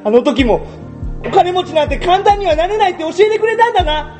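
A man's voice: very high-pitched, big pitch swings, fairly quick, darkish tone, faint music behind. A man playing a naive, earnest, childlike schoolboy in an amateur radio drama, with pleading, quirky phrasing.

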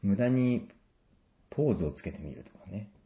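A man speaks in a very low voice as a guest in a casual interview. He is self-deprecating and jokey, feeling his way through explanations. The sound is roomy and somewhat dull. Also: animated, moderate pace. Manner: self-deprecating, hesitant, joking. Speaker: guest, stage director and actor.

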